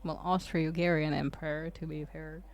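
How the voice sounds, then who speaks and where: A woman's voice: sits low, little pitch movement, normal speed, highs cut off. A woman from Croatia, chatting casually on a livestream, hesitant and rambling with lots of fillers and restarts. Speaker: streamer.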